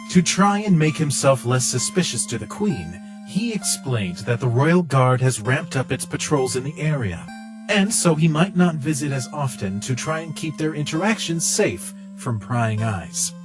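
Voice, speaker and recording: A man reading scripted story narration as the narrator of a comic dub. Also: mid-range voice. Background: guitar music.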